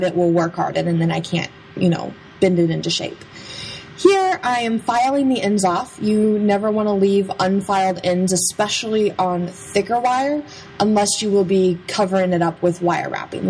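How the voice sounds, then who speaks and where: A woman's voice: mid-range voice, darkish tone, slight background noise, low-quality recording. A young adult woman giving a casual, chatty, playful voice-over about a craft process. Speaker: craft vlogger.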